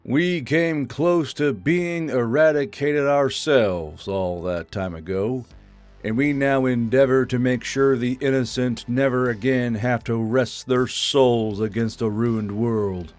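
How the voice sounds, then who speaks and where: A man playing a solemn, resolute drill sergeant in a science fiction audio story, with a measured, ceremonial delivery. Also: medium pitch, darkish tone, soft background music, close to an everyday voice. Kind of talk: dramatic audio fiction acting.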